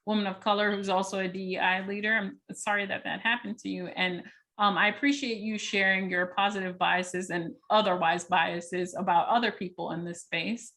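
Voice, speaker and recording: A woman hosting a podcast who listens with soft affirming sounds and responds supportively to her guest. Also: medium pitch, little pitch movement, normal speed. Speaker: podcast host. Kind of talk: casual conversational interview.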